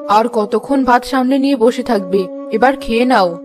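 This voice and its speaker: A caring village wife and mother from a cartoon tale, acted by a woman. Her voice is fairly high, soothing and gently chiding, over clearly audible music. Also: moderate pace, close to an everyday voice. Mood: reassuring. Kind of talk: Bengali cartoon fairy-tale voice acting. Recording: music behind the voice.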